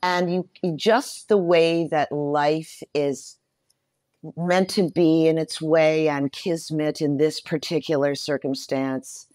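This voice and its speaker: A woman's voice: low voice, fairly even intonation, takes it slowly, a little mellow, no echo. A middle-aged woman speaking as an interview guest, telling personal stories expressively, repeating phrases for emphasis. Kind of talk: personal storytelling in conversation.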